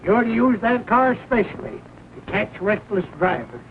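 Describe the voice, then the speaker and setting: Small-town constable, comic and bumbling; very high, animated, crackly voice on a muffled old movie track. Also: male voice, normal speed. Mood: jolly. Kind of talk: 1940s film comedy acting. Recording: dull, noisy old film soundtrack.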